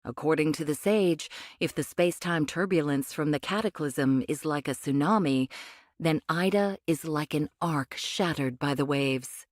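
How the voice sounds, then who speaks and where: An actress playing a condescending cyborg angel of a rebel faction in an anime game, speaking in a measured, cryptic way. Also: female voice, deep voice, no room sound, clean studio sound, close to an everyday voice. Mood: calm, explanatory. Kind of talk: anime game voice acting, expository dialogue.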